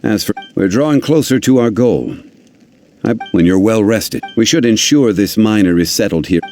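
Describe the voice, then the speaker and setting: A man plays a composed, erudite gentleman from a fantasy video game. The voice sits low and speaks formally, with measured dignity, over faint music. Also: expressive, natural voice. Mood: composed, serious. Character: calm, learned, dignified gentleman consultant of ancient knowledge.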